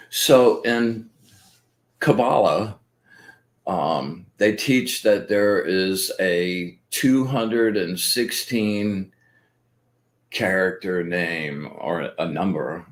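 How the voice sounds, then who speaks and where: A man with a very low voice hosts a loose, chatty spiritual show. He rambles with plenty of fillers and restarts and gets excited as he explains his practice step by step. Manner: rambling and enthusiastic, many fillers. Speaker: host. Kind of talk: casual spiritual talk.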